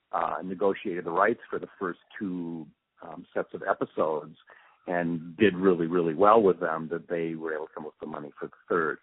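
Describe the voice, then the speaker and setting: Deep, husky older man on phone line. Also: dry recording. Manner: halting, thoughtful, pausing mid-sentence. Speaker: radio drama sound designer and producer being interviewed. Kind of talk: reflective interview talk. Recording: muffled phone-like narrowband line.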